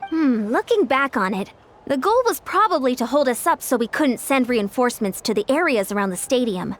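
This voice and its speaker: High, lively young guardian. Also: female voice, fairly quick. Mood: thoughtful. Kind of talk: anime game voice acting. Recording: music behind the voice.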